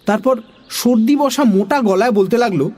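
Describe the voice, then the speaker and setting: A woman narrating a children's story in an expressive, dramatic storytelling style. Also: a little high, normal speed.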